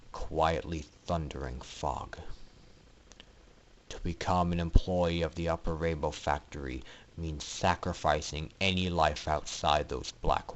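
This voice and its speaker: A man reads a dark fantasy story aloud. His delivery is theatrical and emphatic, leaning hard into the drama of the scripted narration. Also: low voice. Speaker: amateur story reader.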